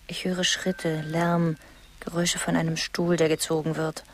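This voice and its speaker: Low-voiced, watchful, bitter woman. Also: flat delivery, measured pace. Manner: terse, log-like observations. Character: watchful, bitterly disillusioned woman eavesdropping on her lover. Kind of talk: first-person radio play narration. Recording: music under the voice.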